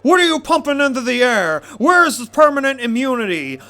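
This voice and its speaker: A man's voice: very high-pitched, big pitch swings, brisk, pushed and bright, full character voice. A man acting in an audio drama as a bitter, menacing pony rebel leader, theatrical and taunting. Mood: angry, commanding.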